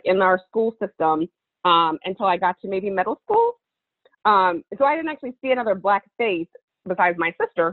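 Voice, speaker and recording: A woman's voice: medium pitch, dry recording. Phone-like audio, candid woman panelist. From Alabama, United States. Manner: candid, informal, swears freely. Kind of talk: casual personal storytelling. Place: online video call. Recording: tinny, phone-like call audio.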